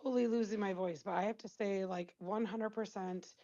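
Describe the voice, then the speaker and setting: Hoarse woman caller, blunt. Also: medium pitch, fairly even intonation, normal speed, dark tone, highs cut off. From Wisconsin. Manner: blunt, casual, rambling. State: losing her voice.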